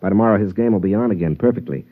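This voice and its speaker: An actor playing a determined, honest professional golfer in a 1950s radio drama. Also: male voice, on the low side, rapid-fire, natural voice. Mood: scheming. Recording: dull old radio broadcast.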